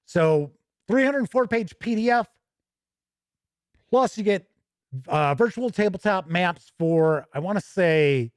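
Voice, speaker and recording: A man's voice: high-pitched, lively intonation, slow pace, long pauses, smooth, no echo. A man hosting a gaming news show, moving between chatty asides and emphatic, presenter-style reading. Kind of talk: chatty news presenting, reading product write-ups and answering viewer comments.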